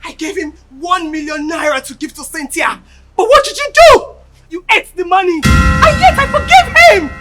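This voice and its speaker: An actress playing a haughty, furious young princess in a screen drama, with a Nigerian accent and an imperious, ranting, emphatic delivery. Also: female voice, extremely high pitch, expressive, moderate pace. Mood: furious, scornful. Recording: roomy echo, faint music behind.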